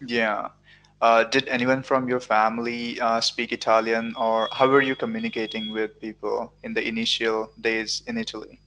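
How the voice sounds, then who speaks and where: A man's voice: medium pitch, little pitch movement, moderate pace, sharp tone, a little hiss or hum, rough recording. A man in his twenties hosting a podcast, asking casual interview questions into a close microphone. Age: 20s. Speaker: podcast host. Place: indoor room. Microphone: close studio mic on boom arm, headphones.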